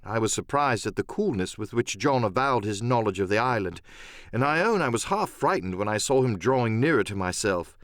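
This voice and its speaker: A man narrating a scripted dramatic first-person story as an audiobook, with measured, expressive storytelling. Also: on the low side, dry recording, studio quality.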